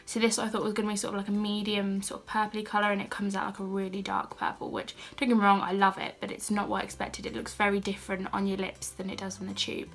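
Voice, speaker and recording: A young British woman with a cold and a slightly croaky voice, chatting casually and self-deprecatingly as she shows a shopping haul on her channel. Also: medium pitch, monotone, fast, few pauses. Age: young adult. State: has a cold, a bit croaky. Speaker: beauty and haul vlogger. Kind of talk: casual haul vlog chat.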